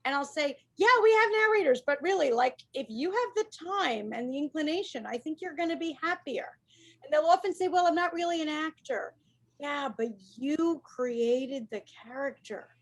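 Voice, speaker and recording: A woman hosting a casual author panel, upbeat and animated. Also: high-pitched, normal speed.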